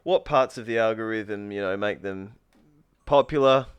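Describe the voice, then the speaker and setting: A man's voice: on the low side, normal speed, clean tone, dull audio. A man, a guest on a casual podcast, who thinks aloud in long, wandering answers and restarts his sentences often. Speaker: podcast guest, spearfisher and food truck owner.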